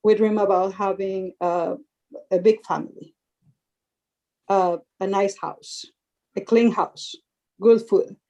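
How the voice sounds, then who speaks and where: Mellow-voiced mother. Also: medium pitch, fairly even intonation, takes it slowly, long pauses. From Costa Rica. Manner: earnest, repeats words while searching for them. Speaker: parent of young actors. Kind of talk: panel discussion.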